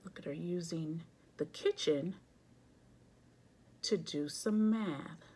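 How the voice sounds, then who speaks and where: Low-voiced woman reading aloud. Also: slow pace, slight background noise. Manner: expressive, chatty asides while reading. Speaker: host of a children's read-aloud. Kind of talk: casual chat and picture-book read-aloud.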